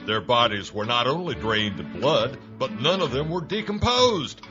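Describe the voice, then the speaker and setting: Determined fatherly B-movie doctor male actor, earnest and melodramatic, expressive mid-range voice. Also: mid-range voice, moderate pace, highs cut off, music in the background, lightly acted. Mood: grave. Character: grave, determined older father, B-movie small-town doctor. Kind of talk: B-movie parody radio acting.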